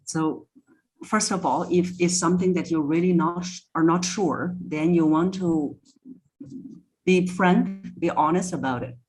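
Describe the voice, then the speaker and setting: A woman's voice: fairly low pitch, unhurried, darkish tone, soft background music, low-quality recording. A woman speaking as a voice coach in a conversational interview, giving instructive advice step by step. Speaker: voice coach, podcast guest.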